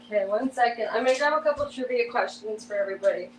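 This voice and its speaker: A woman's voice: a little high, fast talker, a little hiss or hum, poor audio. A woman joining a livestream chat, rambling and giggly as she pokes fun at herself. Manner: giggly, rambling, self-deprecating.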